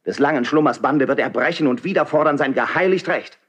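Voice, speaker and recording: An actor playing an idealistic, proud young nobleman in a 1970s German radio play, delivering classical verse as impassioned, rhetorical declamation in a husky voice. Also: medium pitch, brisk, highs cut off, close to an everyday voice. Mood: solemn, fervent.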